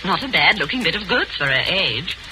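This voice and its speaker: A woman's voice: on the low side, very animated, brisk. A woman playing a wisecracking, cynical young actress with a breezy manner in an old radio drama. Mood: sardonic. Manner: sardonic, breezy. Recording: noisy old radio recording.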